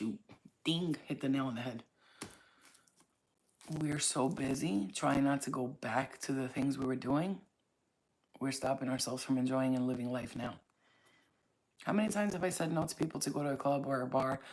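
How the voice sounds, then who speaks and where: A woman speaks in a low, husky voice, loose and casual. She talks straight to her viewers as a spiritual reader, blunt and preachy, swearing freely and never softening her point. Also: moderate pace. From Staten Island, New York. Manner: blunt, profane, preachy, streetwise. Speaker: spiritual reader and channel host. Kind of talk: casual talk to camera.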